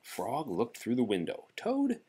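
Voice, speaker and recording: A man reading a children's story aloud to a child in an animated narrating style. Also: fairly low pitch, unhurried, slight background noise. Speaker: storybook reader.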